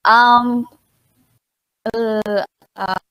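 Indonesian-accented woman, midwifery lecturer, slightly high voice, hesitant webinar delivery on a webcam mic. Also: fairly even intonation, muffled recording, a little hiss or hum. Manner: halting, with fillers and restarts, polite and grateful. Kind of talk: conference presentation over video call. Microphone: laptop webcam mic on a video call.

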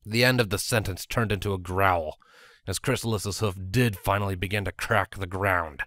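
A man narrates a story in audiobook style. His voice sits slightly low, and the recording is dry and close with no room sound. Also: fairly even intonation, moderate pace, fairly bright tone, no room sound.

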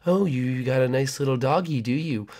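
A man voicing a creepy, smooth-talking male neighbor who speaks slowly and quietly. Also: medium pitch, close to an everyday voice. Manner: slow, hushed, oily folksy talk.

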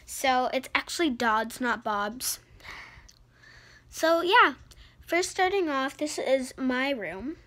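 Female child vlogger, high-pitched and unhurried, informal home-tour talk, noisy handheld phone audio. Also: animated, pushed and bright. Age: child, about 10 to 12. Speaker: amateur vlogger. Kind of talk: casual home tour vlog chat. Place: inside a house, moving from room to room. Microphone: handheld phone at arm's length.

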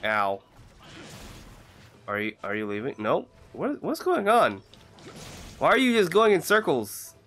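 A young man with a congested voice from a cold, casually commenting on his own gameplay and shouting out when his character gets hit. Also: a little high, wildly swooping pitch, measured pace, pauses often, faint music behind. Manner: chatty, reacting loudly to in-game hits. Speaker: gaming channel host.

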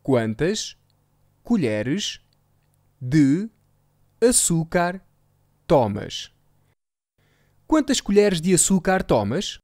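A speaker with a European Portuguese accent, slowly repeating phrases word by word for learners. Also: medium pitch, very animated, a little mellow, no echo, clean studio sound. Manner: slow, carefully articulated word-by-word repetition. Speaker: language teacher. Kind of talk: slow repetition drill for learners.

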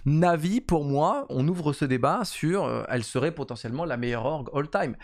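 A man in his thirties giving casual esports commentary to camera from a home streaming room, talking quickly with many fillers and repeated phrases. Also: on the high side, lively intonation. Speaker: esports YouTuber. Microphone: desk mic on boom arm.

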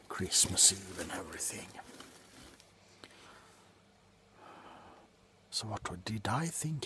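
A tipsy man playing a callous, grumpy doctor in a slow, sullen ASMR roleplay, whispering sarcastically up close. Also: deep voice, pushed and bright, a little hiss or hum, full character voice. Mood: grumbling, annoyed. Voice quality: breathy whisper. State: drunk. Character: grumpy, callous, drunken male doctor on a house call. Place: small cluttered room indoors.

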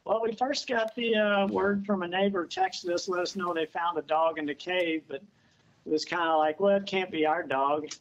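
A man's voice: high-pitched, highs cut off. Plain-spoken man on a video call. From Missouri. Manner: plain, unhurried, pauses with "uh". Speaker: dog owner, interview guest. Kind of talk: casual remote interview. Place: outdoors on a house porch.

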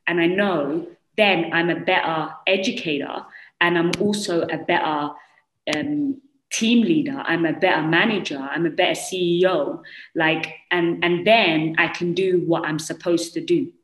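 A young woman with a strong British accent, telling her story as a panelist on a video call, animated and full of "you know"s. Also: on the low side, a little slow, reverberant, slight background noise, rough recording. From United Kingdom. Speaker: panelist, entrepreneur. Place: small room indoors. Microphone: earphone mic on a video call.

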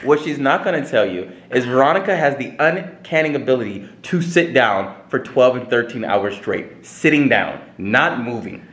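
A man's voice: medium pitch, expressive, moderate pace, hardly any pauses, a bit bright, reverberant. A man vlogging, joking and teasing in playful slang as he talks to the camera. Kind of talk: casual chat to camera.

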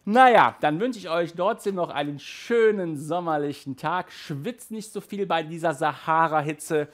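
A man in his thirties with a slightly raised voice, talking in a chatty, animated way as he reviews. He sits in a small room full of shelves and speaks into a headset mic. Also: a little high, a little slow. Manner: chatty and animated, gestures with hands. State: hot and sweaty in a stuffy room. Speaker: audio drama reviewer. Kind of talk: casual video review.

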